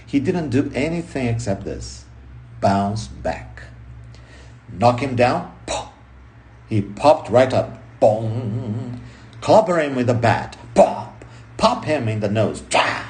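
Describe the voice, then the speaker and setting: A man reading a book aloud expressively, in punchy short phrases with dramatic pauses and emphasis. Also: medium pitch, very animated, darkish tone. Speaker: audiobook reader.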